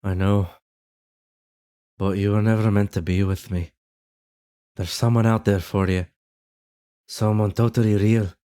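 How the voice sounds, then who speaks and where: A man playing a gentle young man, a lost love earnestly reassuring his sweetheart in a fan audio drama. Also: lowish voice, fairly even intonation, measured pace, long pauses, smooth, natural voice. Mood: tender, reassuring. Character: tender young Irish man, ghostly lost love in a dream.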